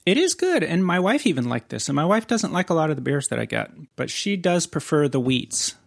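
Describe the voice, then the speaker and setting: A man's voice: on the high side, sing-song, brisk, gravelly, dry recording, studio quality. A man co-hosting a casual podcast, joking around and reading beer labels aloud. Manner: jokey, reads labels aloud. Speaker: podcast co-host. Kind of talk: casual chat.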